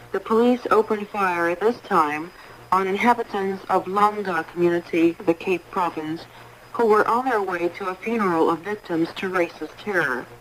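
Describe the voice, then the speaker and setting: A woman reading a formal scripted radio news bulletin in a measured, impersonal way, on a 1980s shortwave broadcast. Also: medium pitch, a little hiss or hum, poor audio. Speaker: newsreader.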